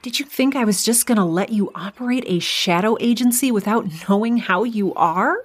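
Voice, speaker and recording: An actress playing a composed middle-aged female intelligence director in an audio drama, measured and earnest, with an evasive streak. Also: mid-range voice, close to an everyday voice. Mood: evasive, cool.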